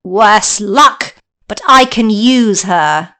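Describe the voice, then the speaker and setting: A woman putting on a wicked old witch from a storybook, cackling and snarling with a strange, twisted timbre. Her voice leaps between gruff low growls and sharp high jabs, all of it slow and menacing. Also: animated, full character voice. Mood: gloating. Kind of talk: storybook character voice.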